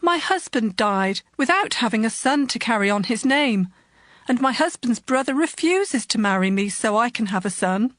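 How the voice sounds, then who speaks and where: Wronged, indignant widow, high-voiced. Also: female voice, lively intonation, normal speed, fairly bright tone, dry recording. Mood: aggrieved. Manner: declamatory, indignant. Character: wronged ancient Israelite widow, publicly accusing. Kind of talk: dramatized scripture reading.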